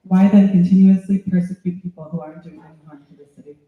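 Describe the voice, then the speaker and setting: A man interprets a speech passage by passage. His voice is high, his delivery measured and a little halting. The sound is thin as a phone line and very echoey. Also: little pitch movement. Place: indoor public meeting hall.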